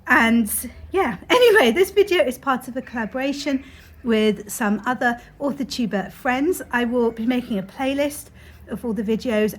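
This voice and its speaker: A young woman talks casually to her camera, her voice slightly croaky as she gets over being unwell. She chats on in a rambling way with plenty of ums and likes, pitched a touch higher than most. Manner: chatty, rambling with fillers. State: croaky from illness. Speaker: author vlogger. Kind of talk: casual vlog talk to camera.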